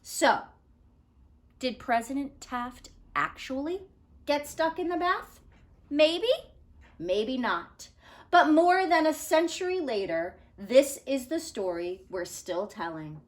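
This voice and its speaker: A woman teacher giving an expressive children's read-aloud and explaining things to young listeners. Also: sits high, slow. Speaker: teacher reading a picture book aloud.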